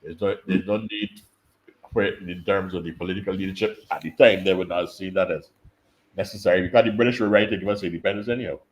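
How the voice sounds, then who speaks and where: Man, low register, patient academic explainer, muffled call audio. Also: steady tone. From Barbados. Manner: measured, explanatory, repeats words while thinking. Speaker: invited speaker, political scientist. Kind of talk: conference talk over video call.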